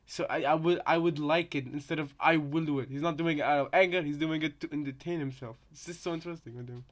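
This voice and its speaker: A man's voice: fairly high pitch, steady tone, fast talker. A man chatting casually as a reaction co-commentator, rambling and circling back over his own points. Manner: rambling, repeats points, often adds "you know". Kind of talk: casual chat, speculative commentary.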